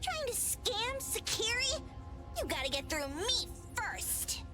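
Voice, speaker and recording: Feisty young girl fighter, very high pitch, swooping delivery, excitable, anime game. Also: slow pace, exaggerated voice. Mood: indignant, defiant. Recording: loud music under the voice.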